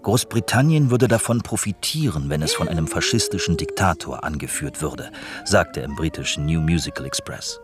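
A man's voice: extremely low pitch, animated, moderate pace, over music. A man reading scripted narration for a radio documentary.

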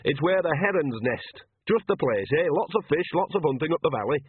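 A man's voice: medium pitch, animated, normal speed, breathy edge, phone-quality sound, pitched above the performer's own voice. A man voicing a bossy, shrewd older woman witch who talks in a blunt, colloquial, cajoling way.

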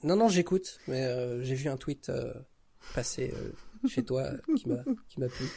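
Man, creaky voice, medium pitch, casual interview guest, hesitant, searching for words. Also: big pitch swings, a little slow, mellow. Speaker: podcast guest, audio fiction creator. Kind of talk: casual one-on-one chat.

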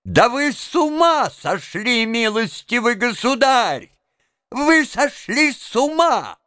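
An indignant elderly vice-principal from a classic storybook, acted out by a male reader. His voice climbs high and drags out its words with pompous, blustering outrage. The pitch swings widely as he exclaims in disbelief. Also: big pitch swings, takes it slowly, pushed and bright, clear, resonant tone, dry recording, studio quality, heavily acted. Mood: furious. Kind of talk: dramatized audiobook reading of a classic tale.

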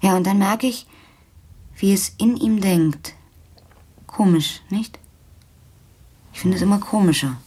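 An actress playing a disillusioned, talkative young kept woman in a German radio play, sardonic and confiding, with a husky voice. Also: medium pitch, measured pace, long pauses, warm tone. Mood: wry, musing. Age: young adult.

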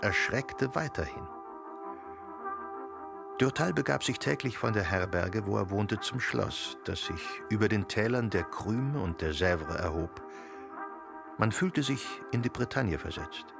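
Radio play narrator: man, gravelly, measured literary storytelling, close dry studio, soft music underneath. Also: lowish voice, animated.

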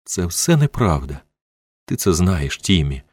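A man's voice: sits low, wildly swooping pitch, moderate pace, breathy edge, no room sound, exaggerated voice. A man voicing a fiercely protective woman carer in an audiobook, urgent and emotional. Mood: tender, upset.

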